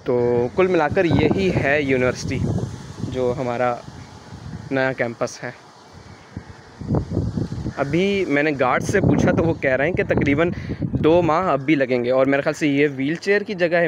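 A man's voice: medium pitch, slight background noise. A young man from Pakistan with a Karachi Urdu accent, casually narrating a walking tour outdoors into a handheld phone, hesitating often with fillers. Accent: Urdu-speaking, Karachi. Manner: hesitant, thinking aloud with frequent "uh" fillers. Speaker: student vlogger giving a campus tour. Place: outdoors on a construction site. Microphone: handheld phone camera.

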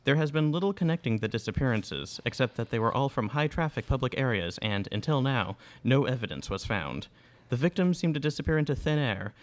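Young guy doing a serious radio play newsman, medium pitch, formal bulletin delivery. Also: lightly acted. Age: young adult. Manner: formal, grave, read from script. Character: earnest male TV news reporter giving an emergency bulletin. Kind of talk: scripted news report in a student radio play. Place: indoor studio stage. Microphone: microphone on boom stand.

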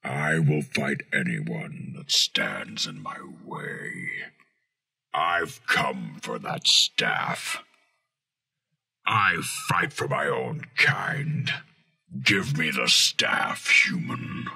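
A giant robot war leader, extremely deep and very slow. He speaks with stern, menacing command through a bass-boosted, pitched-down effect, like a film hero ready for battle. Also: big pitch swings, sharp tone, heavily acted. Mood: stern, threatening. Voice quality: deep, gravelly. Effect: pitched-down, bass-boosted processing.

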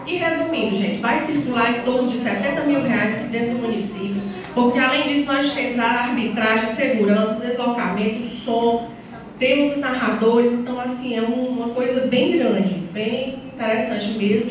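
Woman, northeastern Brazilian accent, town councillor at the podium; distant from the mic, loud background noise, semi-formal speech. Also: on the high side. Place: small council chamber, indoors. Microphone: phone or camera several metres away. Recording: distant, very echoey, noisy room recording.

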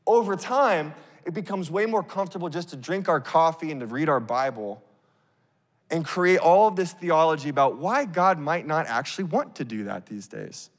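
A man in his thirties preaching on a church stage into a handheld mic, telling a story in a casual, animated way. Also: on the high side, moderate pace. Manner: animated, acts out scenes, casual fillers. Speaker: pastor. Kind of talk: sermon storytelling. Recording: long reverb of a big hall.

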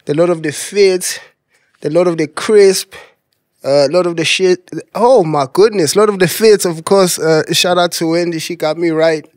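A man's voice: high-pitched, dry recording, studio quality. A young man hosting a podcast, joking and slangy, hyping up his guest in casual chat. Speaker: podcast host.

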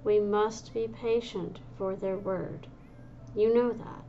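A woman voicing Kingstar, a calm she-cat leader. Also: mid-range voice, slow, lo-fi sound, slight background noise. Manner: gentle, soothing. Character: female cat clan leader, calm and patient.

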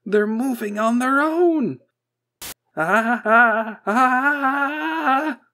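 Story character, male reader: wide-eyed young underling technician, high-strung, stammering, voice pushed high and loud. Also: sing-song, moderate pace. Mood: panicked. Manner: stammering, flustered.

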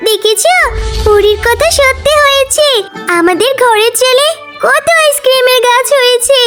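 Very high-pitched little fox girl. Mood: excited, happy. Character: sweet, emotional little girl fox cub. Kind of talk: children's cartoon dialogue.

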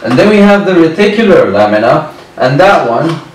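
A man's voice: on the high side, very animated, moderate pace, slight background noise. Young man, lecturer, repeats key terms, distant mic, echoey room. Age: 20s. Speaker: medical lecturer. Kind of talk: whiteboard lecture. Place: indoor room at a whiteboard. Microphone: far camera.

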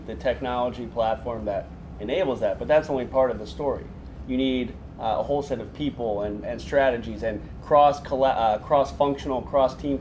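Man, moderator, middle pitch, brisk questions, faint ambience. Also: a little mellow, slight background noise. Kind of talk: moderating a panel.

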